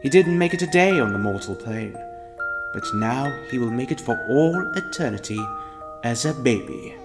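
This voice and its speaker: An actor playing a wry, theatrical narrator of ghostly tales in a scripted audio drama. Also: male voice, medium pitch, expressive, moderate pace, over loud music, lightly acted.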